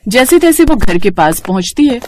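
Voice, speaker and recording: A woman's voice: a little high, sing-song, moderate pace, a little mellow, a little hiss or hum. A woman storyteller reading scripted narration for a cartoon moral tale.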